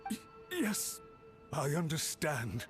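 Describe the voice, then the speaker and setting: A man's voice: a little high, big pitch swings, takes it slowly. An actor playing an anxious, subservient official in a video game, deferential and formal as he implores a superior, with music behind. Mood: cowed. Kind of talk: dramatic video game voice acting.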